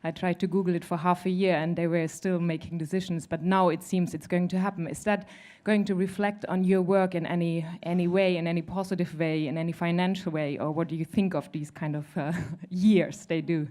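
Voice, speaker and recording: A woman's voice: fairly low pitch, level tone, fairly quick, hardly any pauses, warm tone. A woman in the audience asking the panel a polite, winding question. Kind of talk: question from the floor.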